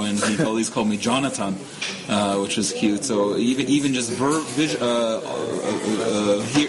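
A man's voice: lowish voice, poor audio. A middle-aged American man, a guest on a radio show, answering interview questions steadily with a few stumbles. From United States. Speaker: guest, nonprofit head. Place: restaurant. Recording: loud restaurant crowd noise. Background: loud restaurant crowd.

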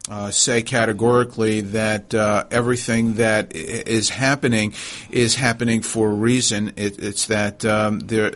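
A middle-aged man with a New York accent hosting a conversational radio talk show, speaking in a halting way with frequent pauses. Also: fairly low pitch. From New York, USA.

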